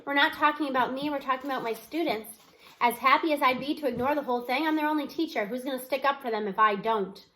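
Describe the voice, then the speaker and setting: A woman's voice: high-pitched, fast, bright, forceful tone, rough recording. Woman playing a stubborn, blunt male school teacher. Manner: blunt, insistent. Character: stubborn, half-sleepy male middle school teacher, indignant.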